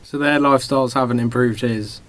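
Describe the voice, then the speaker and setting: A man's voice: medium pitch, fairly even intonation, fairly quick. A man co-hosting a podcast, joking casually and challenging his friends with teasing questions. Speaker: podcast co-host.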